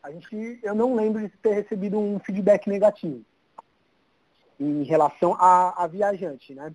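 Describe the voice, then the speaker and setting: A man's voice: high-pitched, fairly even intonation, moderate pace, darkish tone, rough recording. A man in his thirties with a São Paulo Brazilian accent, chatting informally as an interview guest. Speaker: interview guest, social media city guide creator. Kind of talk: casual chat.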